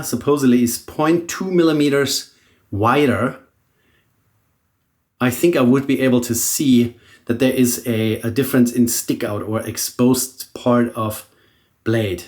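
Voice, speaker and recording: A middle-aged man from Germany, a native German speaker with a German accent, talking casually through a shaving demonstration and thinking aloud as he goes. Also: medium pitch. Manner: relaxed, thinking aloud with frequent restarts. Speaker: shaving vlogger. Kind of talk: casual product demo chat.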